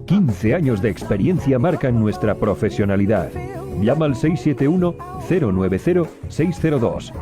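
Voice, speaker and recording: A man's voice: mid-range voice, sing-song, moderate pace, over music. A man with an accent from Spain reading a scripted radio advertisement. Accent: Spanish, from Spain. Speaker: advert announcer.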